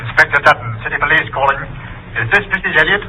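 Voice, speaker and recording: An actor playing a polite but insistent police inspector with clipped, brisk questions, in 1940s radio drama style. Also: male voice, fairly high pitch, expressive, bright, forceful tone. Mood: official, brisk. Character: courteous, probing male city police inspector, quietly insistent. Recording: noisy vintage 1940s radio recording.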